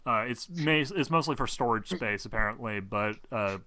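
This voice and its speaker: A man running a tabletop game as game master, improvising narration in a halting, explanatory way. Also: mid-range voice, expressive, bright, forceful tone, no echo.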